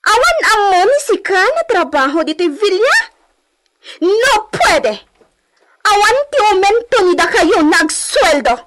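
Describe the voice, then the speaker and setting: A woman's voice: very high voice, very animated, unhurried, heavily acted. A woman with a Filipino accent playing a haughty, bossy young rich senorita in a radio drama, scolding imperiously. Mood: scornful, scolding. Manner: imperious, scolding, sharp exclamations.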